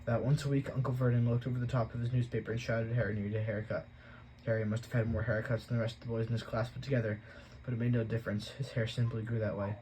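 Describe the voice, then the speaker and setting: A man reading a novel aloud as an audiobook, in plain, steady storytelling. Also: mid-range voice, slight background noise, rough recording. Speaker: audiobook reader.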